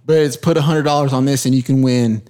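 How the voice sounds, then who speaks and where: A man's voice: mid-range voice, steady tone, quick pace, dark tone, smooth. A man hosting a casual podcast, emphatically explaining a point about risk and often cutting in with "hold on.". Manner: emphatic, keeps interrupting to make his point. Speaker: podcast host. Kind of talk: casual chat.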